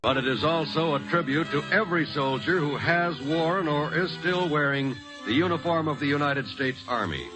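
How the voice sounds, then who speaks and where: A man's voice: a little high, moderate pace, poor audio. A man announcing a scripted old-time radio programme in a grand, solemn, declamatory manner. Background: music.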